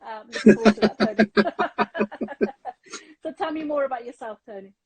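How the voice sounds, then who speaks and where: A woman's voice: fairly high pitch, normal speed, a crowd in the background. A middle-aged woman, a business coach hosting a podcast, upbeat and encouraging with ready laughter. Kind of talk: casual interview chat.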